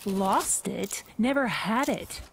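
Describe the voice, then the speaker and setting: A woman's voice: mid-range voice, expressive, takes it slowly, vocal fry, a little hiss or hum. An actress playing a tough, no-nonsense woman fighter in a video game, curt, sarcastic and dismissive. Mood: scornful, irritated. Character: tough, no-nonsense, scornful female fighter, adult. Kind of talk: video game character dialogue.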